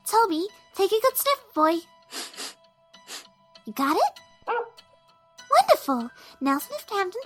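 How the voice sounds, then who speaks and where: A woman voicing a gentle, kind young mare in a cartoon audio drama, helpful and coaxing in manner. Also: very high voice, exaggerated voice. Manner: soft-hearted, helpful, coaxing.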